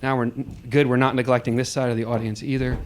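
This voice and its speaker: A man with a mid-pitched voice moderates a public talkback at a live event. He speaks off the cuff, reflective and explanatory, often halting as he builds a thought. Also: little pitch movement. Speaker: moderator of an audience discussion. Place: live event space with an audience.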